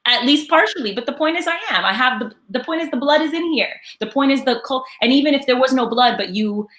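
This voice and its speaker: A woman's voice: sits high, animated, quick pace, a bit bright. Woman host, affirming and enthusiastic, casual interview delivery.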